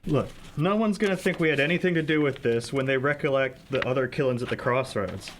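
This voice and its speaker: A man's voice: medium pitch, a little fast, slight background noise, lightly acted. A man playing an earnest, protective state trooper in a radio play, insistent and reassuring. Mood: defensive. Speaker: amateur student actor.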